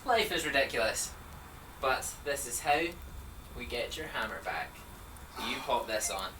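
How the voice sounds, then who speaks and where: A mischievous trickster god , played by a male actor, teases slowly and smugly in a bright, sharp voice. The sound is distant and echoey, with light ambience around it, as at a live staging. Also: mid-range voice, animated, slight background noise. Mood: teasing. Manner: slow, teasing, smug.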